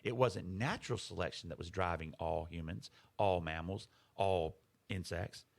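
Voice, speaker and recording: A man's voice: low voice, moderate pace, creaky. A man co-hosting a podcast, explaining ideas in casual conversation, emphatic and fond of rhetorical questions. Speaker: podcast co-host.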